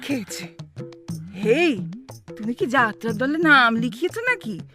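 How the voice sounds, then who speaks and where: A woman playing an exasperated, sharp-tongued elderly wife with a West Bengal accent in a comic audio drama, scolding and sarcastic. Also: a little high, sing-song, unhurried, faint music behind, exaggerated voice. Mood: impatient, indignant. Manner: scolding, sarcastic, theatrically grumbling.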